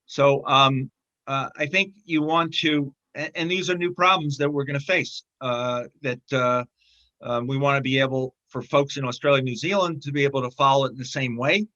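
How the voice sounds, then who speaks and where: Bright-voiced man, halting speech. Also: mid-range voice, fairly even intonation, muffled recording. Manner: halting, many fillers and restarts. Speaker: film distributor, interview guest. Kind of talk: casual interview conversation.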